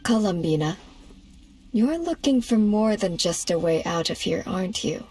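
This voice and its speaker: A woman voicing a composed, solemn goddess in an anime game, speaking in a measured, formal way. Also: mid-range voice, expressive, a little mellow, slightly rough, no echo. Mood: grave, cautioning. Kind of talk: anime game cutscene acting.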